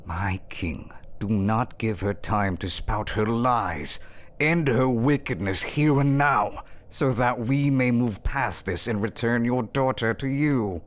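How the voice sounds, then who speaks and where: Man acting a slow, sneering scheming duke. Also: mid-range voice, lightly acted. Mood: coldly urging. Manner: pompous, theatrical, drawn-out taunting. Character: scheming, sneering duke, a smooth-tongued villain. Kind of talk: fantasy audio drama acting.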